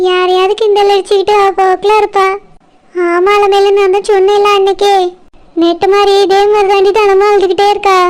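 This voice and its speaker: A woman voicing a chatty village cartoon story, taking several roles in a lively way. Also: very high voice. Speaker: cartoon narrator and voice actor.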